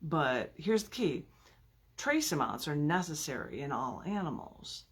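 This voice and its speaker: A woman's voice: low voice, big pitch swings, measured pace, slightly rough. A woman reading her own poetry aloud in an informal, theatrical and emphatic way, with playful, chatty asides.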